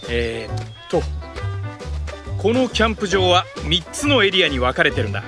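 A man voicing an easygoing, enthusiastic young father in a cartoon, explaining things in a breezy, fatherly way. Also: high voice. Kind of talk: Japanese animated cartoon acting. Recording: music behind the voice.

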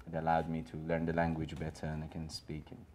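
A man's voice: very deep voice, monotone, fast talker, a little mellow, slight background noise. A man in his thirties with a Persian accent, speaking on a gallery panel in an earnest, rambling way full of fillers and restarts. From Iran. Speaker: panelist and filmmaker. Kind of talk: panel discussion. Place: small gallery room with an audience.

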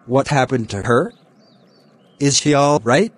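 Guy voicing a helpful young cartoon man, slow and deliberate, kids story style, normal pitch. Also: animated. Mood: helpful, concerned. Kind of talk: slow, simple scripted storytelling for children.

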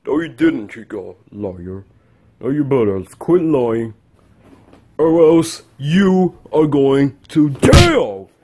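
A man's voice: medium pitch, sing-song, slow, mellow, slight background noise, voice pushed down. A man playing an exasperated, nagging household disciplinarian in an amateur improvised comedy skit, repeating himself as he scolds. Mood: scolding.

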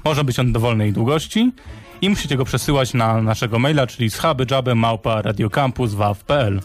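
Fast-talking man co-hosting a radio show. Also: medium pitch, faint music behind. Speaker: radio co-host. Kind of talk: casual live radio show chat.

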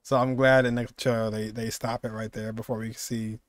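A man's voice: on the low side, little pitch movement, a little fast, no echo. A man in his twenties giving casual reaction commentary as a game streamer. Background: game music and sound.

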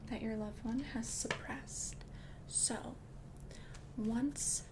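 Woman as a gentle ASMR witch, breathy whisper, very unhurried, slightly high pitch, noisy recording. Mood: soothing, confiding. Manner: slow, gentle, careful explaining. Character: calm, earnest witch selling love potions. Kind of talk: ASMR roleplay.

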